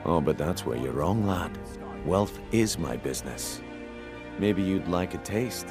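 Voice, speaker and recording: A man playing a sly, smooth-talking thief recruiter in a video game, cajoling and conspiratorial. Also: low-pitched, expressive, measured pace. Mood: sly, persuasive. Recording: music behind the voice. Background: game music.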